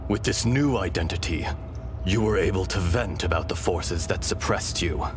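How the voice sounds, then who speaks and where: An actor playing the calm, wise fragment of an ancient dragon in human form, speaking solemnly and measured in a video game scene. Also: male voice, sits low, close to an everyday voice. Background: orchestral game music.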